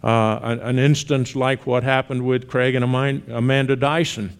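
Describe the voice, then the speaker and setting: Older Southern male missionary, sixties, relaxed conversational church talk with light humor. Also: medium pitch, normal speed, smooth. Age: 60s. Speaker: missionary guest speaker.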